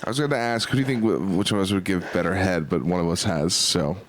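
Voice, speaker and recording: A man's voice: deep voice, fast. A man in his twenties co-hosting a comedy podcast, rambling and self-mocking in casual banter. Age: 20s. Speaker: podcast co-host.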